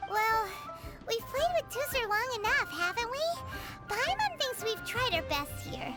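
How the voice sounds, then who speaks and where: A woman voicing a tiny, cheeky, bossy floating fairy companion in a video game, with a squeaky childlike voice. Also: very animated, a little slow, over loud music, full character voice. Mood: thoughtful, upbeat. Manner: refers to self in third person.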